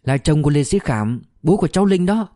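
A composed, tactful woman from an audiobook novel, voiced by a male narrator, matter-of-fact in manner. The tone is mellow and the recording dry. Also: fairly high pitch, lively intonation, brisk, dry recording, pitched above the performer's own voice, close to an everyday voice.

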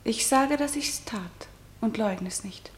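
A woman's voice: fairly high pitch, a little slow, echoey room, close to an everyday voice. A woman playing a defiant, unbending young princess, declaiming with intensity in a verse radio drama.

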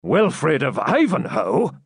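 A man voicing a stern, commanding champion knight in an audiobook, authoritative and deliberate. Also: medium pitch, very animated, no echo, studio quality, pitched above the performer's own voice. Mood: alarmed urgency.

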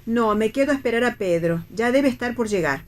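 A woman's voice: fairly high pitch, animated, a little fast, lightly acted. A woman with an Argentine accent playing a wistful, indecisive young wife in a radio theatre reading. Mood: puzzled. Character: Flora, indecisive wife, tender, wistful, quietly defiant. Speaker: actor in a radio play reading.

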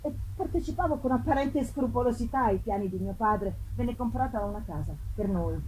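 A woman playing a troubled, loving man full of dread, telling his gothic tale in dramatic, halting, deliberate phrases. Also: fairly high pitch, natural voice, poor audio. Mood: brooding. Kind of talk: theatrical first-person gothic reading. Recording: live performance with loud background noise.

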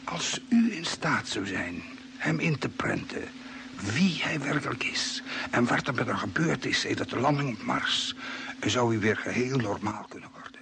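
A man acting a grave, formal stranded space traveller who explains things deliberately in a vintage Dutch radio play, on a noisy old recording. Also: mid-range voice, expressive, creaky. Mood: grave, explaining. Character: grave stranded Earth man, knowing explainer of Martian mind control.

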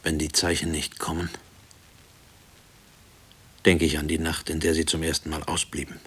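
Haunted former prisoner, middle-aged, very low husky voice, hushed, introspective, radio drama monologue. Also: male voice, completely flat delivery, moderate pace, full character voice. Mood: brooding, haunted. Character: haunted male former prison inmate, brooding family man. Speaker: narrator and lead character of a radio play. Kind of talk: brooding first-person radio drama monologue.

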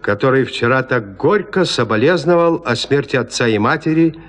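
A man's voice: mid-range voice, expressive, normal speed. A man narrating a literary story as the scripted narrator of an audio drama.

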